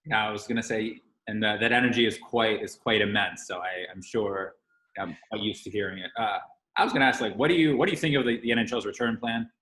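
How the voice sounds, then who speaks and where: A man casually co-hosting a podcast, with somewhat halting phrasing. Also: on the low side, fairly even intonation, a bit bright, poor audio. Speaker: podcast co-host.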